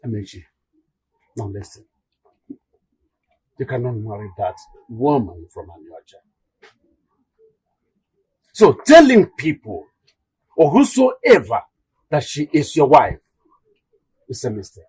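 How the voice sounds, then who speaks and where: A man's voice: mid-range voice, big pitch swings, slow pace, long pauses, a little mellow, breathy edge, no echo, heavily acted, poor audio. An actor with a Nigerian accent playing a stern, imperious king and father in a royal drama, with a strained, declamatory delivery. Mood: stern, indignant. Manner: declamatory, repeats commands for emphasis. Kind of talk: Nollywood royal drama acting.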